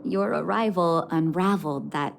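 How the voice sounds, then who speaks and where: A composed young woman who leads an underground resistance in a video game. Her voice sits on the low side, measured, gracious and earnest. Music plays clearly behind her. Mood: grateful, composed. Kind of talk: video game cutscene dialogue.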